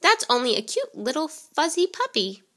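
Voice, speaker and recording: A woman's voice: sits high, very animated, normal speed, sharp tone, voice pushed up, full character voice. A woman voicing a cocky storybook burglar who coos sweetly at a dog. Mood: cooing.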